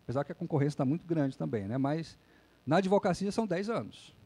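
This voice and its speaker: A male lawyer delivers a public talk in a relaxed, conversational way, often checking in with his listeners through short tag questions. His voice sits a little high and has a soft, mellow tone. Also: fast. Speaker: lawyer and lecturer. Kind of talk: public lecture.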